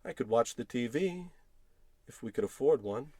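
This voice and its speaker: A man's voice: mid-range voice, lively intonation, normal speed, darkish tone, no echo, lightly acted. A man playing an irritable, money-worried working-class husband in a blustering, sarcastic old-time radio comedy style. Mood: bitter. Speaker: actor in scripted comedy podcast.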